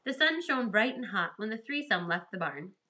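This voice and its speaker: A woman's voice: fairly high pitch, lively intonation, moderate pace, sharp tone. A woman storyteller reading a children's storybook aloud with expression.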